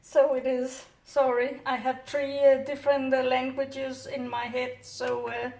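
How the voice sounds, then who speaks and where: A middle-aged Danish woman speaking English with a Danish accent, calmly narrating her work at home with many hesitant "uh" fillers. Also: sits high, unhurried. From Denmark. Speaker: orchid grower. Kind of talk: casual hands-on narration. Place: home kitchen counter, indoors. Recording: roomy distant camera audio, faint noise.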